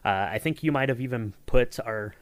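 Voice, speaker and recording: A man's voice: medium pitch, normal speed, no echo. A man co-hosting a podcast in a casual behind-the-scenes chat, rambling with lots of fillers and restarts. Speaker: podcast co-host.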